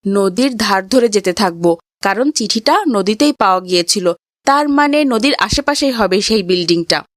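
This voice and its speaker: A woman's voice: medium pitch, lively intonation, normal speed, no echo, clean studio sound, natural voice. A woman playing an earnest, principled young boy in a cartoon. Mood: resolute. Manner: earnest, righteous. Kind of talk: cartoon voice acting.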